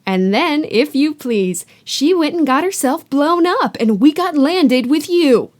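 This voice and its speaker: A shrill, bitter middle-aged woman who shrieks and rants with years of spite behind it, voiced by a woman reading aloud. Her voice keeps climbing high and loud. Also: animated, a little slow. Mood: ranting.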